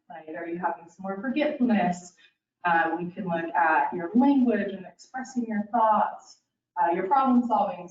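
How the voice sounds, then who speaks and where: A woman's voice: medium pitch, darkish tone. A woman speech-language pathologist giving a casual educational presentation, talking straight to her listeners with lots of "kind of" and "um". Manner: casual and chatty, addresses listeners directly, many "kind of" and "um". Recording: roomy, distant, dull sound.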